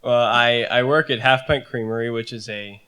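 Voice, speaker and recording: A man's voice: medium pitch, fairly even intonation, normal speed, bright, forceful tone. A young man, a student on a panel, telling a story in an earnest, hesitant way.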